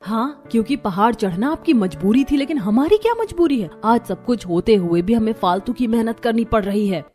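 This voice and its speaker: A woman playing an overworked, aggrieved young daughter-in-law in a cartoon family drama, speaking in an expressive, complaining way. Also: on the high side, normal speed, few pauses, soft background music, close to an everyday voice.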